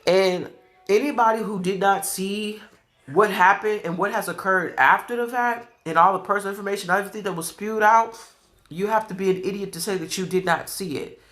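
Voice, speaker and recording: A man's voice: high-pitched, brisk, a bit bright, soft background music. A man with a stuffy nose giving a blunt, scolding commentary while eating on a food show. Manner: blunt, scolding, repeats points. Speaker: food vlogger.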